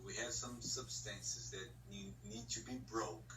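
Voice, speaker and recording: A man from Brazil with a Brazilian Portuguese accent, an English learner who pauses and searches for words. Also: on the low side, echoey room, far from the mic, background noise. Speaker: English student. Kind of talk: online conversation lesson.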